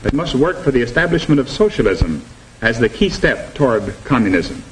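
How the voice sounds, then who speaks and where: An old 1950s film soundtrack with faint noise carries an actor as an earnest American instructor. He is a man with a medium-pitched voice, lecturing in a measured, didactic way on a propaganda film. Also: big pitch swings, lightly acted, low-quality recording. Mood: stern, didactic. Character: earnest male anti-communist instructor lecturing students. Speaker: instructor presenting a lesson.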